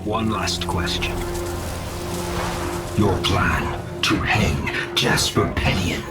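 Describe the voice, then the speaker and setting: Radio-drama avenger: slow, solemn, bereaved and vengeful, mid-pitched, under loud music. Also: male voice. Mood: cold vengeance. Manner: grave, slow, theatrical pronouncements. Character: grieving avenger, vengeful man turned quixotic holy destroyer. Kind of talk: cinematic radio drama acting.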